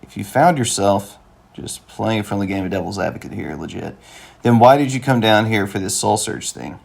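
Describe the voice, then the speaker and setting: A man voicing a wry, plain-spoken, skeptical young man in an animated comedy-drama, with a deadpan, reasoning delivery. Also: fairly low pitch, moderate pace, gravelly. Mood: challenging.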